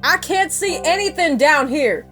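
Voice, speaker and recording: A woman voicing a grown pony farm mare, a weary, blunt big sister with a folksy, expressive delivery, in an amateur audio drama. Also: high-pitched, unhurried, faint music behind. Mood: tired, uneasy.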